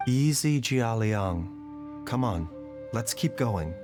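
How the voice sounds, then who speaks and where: An actor playing a composed male physician in a video game, speaking in a measured, reassuring way. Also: medium pitch, animated, music in the background. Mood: calm.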